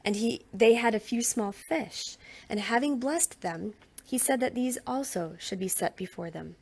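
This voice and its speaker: Woman reading bible passage out loud, bit of a husky voice, storytelling style. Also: fairly high pitch, measured pace. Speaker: scripture reader. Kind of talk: expressive read-aloud of Bible narrative.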